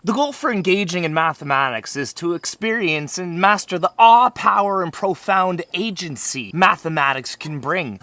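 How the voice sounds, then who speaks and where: A man's voice: a little high, fairly bright tone, dry recording. A man hosting an education channel who reads a scripted video introduction as an upbeat presenter.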